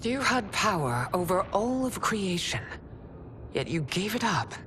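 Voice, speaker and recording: An actress playing a proud, imperious empress in a video game cutscene, speaking in a regal, commanding and haughty way. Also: female voice, medium pitch, very animated, measured pace, noisy background. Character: proud, imperious woman empress ruling a realm. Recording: loud orchestral score underneath.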